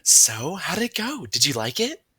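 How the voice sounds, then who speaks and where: A man voicing a gentle, concerned and affectionate mother in an audiobook, speaking in a tender, coaxing, emphatic way. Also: on the high side, huge pitch swings, normal speed, husky, pitched above the performer's own voice, exaggerated voice. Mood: concerned, probing. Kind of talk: audiobook character dialogue.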